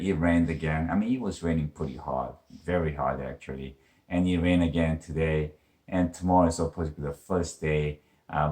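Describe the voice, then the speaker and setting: A man's voice: low-pitched, a little mellow. A man with a cold, talking casually to camera in a hunting vlog, with many fillers and restarts. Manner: whispers while in the tree stand. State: has a cold, voice very deep; shaky and excited after the shot. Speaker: hunting vlogger.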